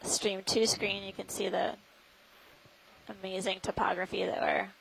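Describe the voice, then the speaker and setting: Very creaky-voiced woman commentator. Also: medium pitch, flat delivery, measured pace, low-quality recording. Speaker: expedition scientist narrating dive. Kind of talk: live commentary on a deep-sea dive. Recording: faint background noise.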